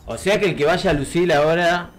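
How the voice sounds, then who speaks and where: A man's voice: on the high side, quick pace, faint music behind. A man with an Argentine accent chatting casually as co-host of a radio show. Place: radio studio. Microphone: close studio microphone with headphones.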